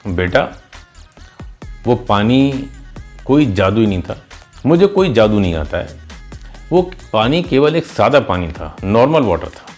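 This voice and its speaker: Man as old village woman, wise, kindly, patient, instructive, low-pitched, storybook dialogue, music behind. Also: sing-song, unhurried, long pauses. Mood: gentle, wise. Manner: patient, instructive, addresses listener as child.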